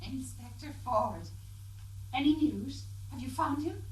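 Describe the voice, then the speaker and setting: A worried wife in a radio play, polite and eager. Her voice sits fairly high and moves expressively, with faint noise behind it. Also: animated, unhurried, rough recording. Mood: anxious. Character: anxious wife of a missing man. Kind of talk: radio drama acting.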